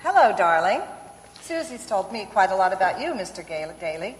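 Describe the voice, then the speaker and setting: A woman's voice: mid-range voice, sing-song, brisk, lightly acted. A woman playing a polite, practical, no-nonsense mother in an old-time radio play. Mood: cool, polite. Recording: reverberant hall.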